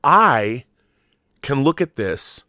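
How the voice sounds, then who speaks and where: A man in his fifties hosting a film commentary show, talking to viewers in an emphatic, deliberate monologue with stressed pauses and repeated phrases. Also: medium pitch, big pitch swings, pushed and bright, no echo, studio quality. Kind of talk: opinionated monologue to viewers about film collectibles.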